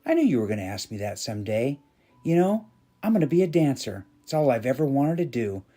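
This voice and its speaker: A man's voice: medium pitch, big pitch swings, a little fast, mellow, pitched above the performer's own voice. Man playing exuberant, emphatic toll booth worker. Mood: joyful, confiding. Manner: emphatic, repeats words with relish. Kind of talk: dramatized storytelling dialogue.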